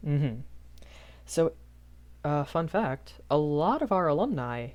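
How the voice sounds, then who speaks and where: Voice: medium pitch, very animated, takes it slowly, darkish tone. A performer voicing a shy, earnest young magic academy professor in a rambling audio roleplay, hesitant and often self-correcting. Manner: hesitant, self-correcting, apologetic, nervous chuckles. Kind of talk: improvised character audio roleplay, rambling lecture.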